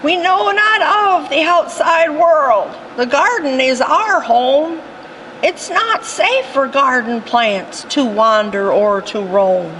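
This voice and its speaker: A woman voicing a wise old squash with a raspy voice, giving a solemn warning. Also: high-pitched, expressive, slow, a bit bright, a little hiss or hum, pitched above the performer's own voice, exaggerated voice, low-quality recording.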